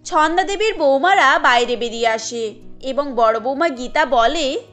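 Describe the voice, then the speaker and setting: A woman's voice: high-pitched, measured pace, a bit bright, soft background music. A woman narrating a scripted family cartoon story.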